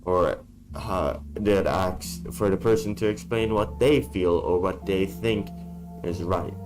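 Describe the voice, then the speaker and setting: A man talking in a casual vlog from a dark room, blunt and swearing freely, his voice worn from being sick. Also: deep voice, takes it slowly, music in the background, rough recording. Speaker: YouTuber.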